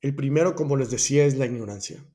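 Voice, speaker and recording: A man with a mellow, warm voice at a middle pitch, talking through Buddhist concepts on his own show. He speaks in a reflective, unhurried way, stopping to correct himself and restart his phrases as he goes. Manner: thoughtful, self-correcting, many restarts and asides. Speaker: host of a personal growth podcast. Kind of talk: reflective solo talk on Buddhist ideas.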